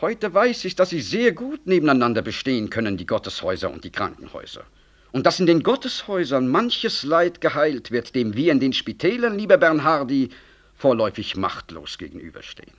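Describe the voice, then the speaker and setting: An ambitious, calculating government official in a 1960s radio drama. His voice moves in lively, wide swings of pitch. He speaks in a rhetorical, condescending and diplomatically slippery manner. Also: brisk. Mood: smug, cautioning. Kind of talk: 1960s German radio play acting.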